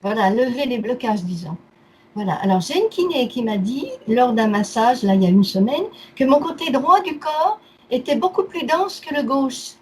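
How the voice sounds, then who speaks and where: A woman's voice: fairly high pitch, animated, moderate pace, low-quality recording. A woman speaking as a client in a casual consultation, listing things item by item in short phrases. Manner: itemizing, in short clipped phrases. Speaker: therapy client. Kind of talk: casual recounting of health complaints. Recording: faint background noise.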